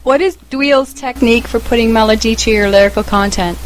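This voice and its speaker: Upbeat woman interviewer, a little high, over faint background noise. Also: fairly quick. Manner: encouraging, upbeat. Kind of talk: interview questions.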